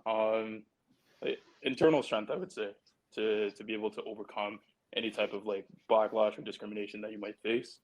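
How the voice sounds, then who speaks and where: A man from Barbados, a track athlete on a panel, talking in a measured way as he searches for words. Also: on the low side, fairly even intonation, a little mellow, rough recording. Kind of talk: casual panel talk.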